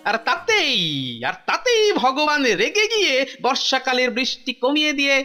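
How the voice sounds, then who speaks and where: A high, very lively cartoon jester speaks over loud music. The figure is clever and sly, explaining things coaxingly, with teasing hesitations. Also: normal speed, full character voice. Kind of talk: animated cartoon dialogue.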